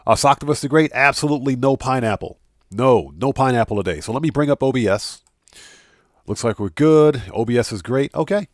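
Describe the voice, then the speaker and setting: A man's voice: mid-range voice, expressive, a little fast, no room sound, studio quality. A man hosting a gaming live stream, greeting viewers by name in a casual, friendly way, sounding a bit nasal from a cold. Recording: music playing under the voice. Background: background music.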